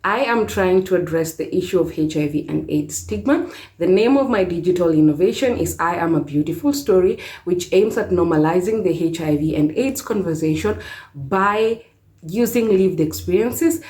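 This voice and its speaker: A woman's voice: on the low side, expressive, moderate pace, dark tone. A young woman with a Kenyan accent giving a prepared talk as an advocate. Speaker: HIV stigma advocate. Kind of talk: prepared self-introduction talk.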